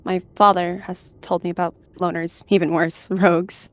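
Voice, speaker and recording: An anxious young she-cat voiced by a woman in a game roleplay. She is hesitant and huffy, mumbling and whining in a slightly low female voice. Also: flat delivery, moderate pace, natural voice.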